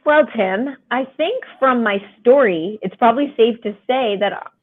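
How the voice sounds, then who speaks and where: A woman guest on a podcast with a raspy voice, telling her story frankly and chattily over a remote call. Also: a little high, lively intonation, measured pace. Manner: frank, chatty, laughs often. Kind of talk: candid conversational storytelling. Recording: tinny phone-line sound.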